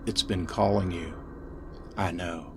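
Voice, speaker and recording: A man voicing an insane, haunted asylum inmate in a gothic horror story, fervent and ominous, swinging from hushed to ranting. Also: low voice, steady tone, takes it slowly, vocal fry, background noise, voice pushed down, full character voice. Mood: hushed, intense.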